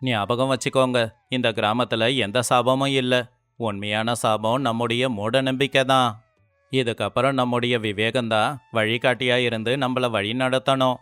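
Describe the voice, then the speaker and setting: A man's voice: mid-range voice, steady tone, normal speed, a bit bright, smooth, no echo, close to an everyday voice. A man acting an indignant, accusing villager who exposes a fraud in a moral-story drama. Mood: earnest, preaching.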